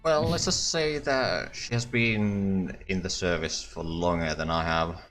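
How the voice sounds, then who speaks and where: A man's voice: sits low, a little slow, a bit bright, soft background music. A man voicing a secretive, evasive male agent with a put-on Russian accent. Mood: guarded. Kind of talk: tabletop roleplay in character.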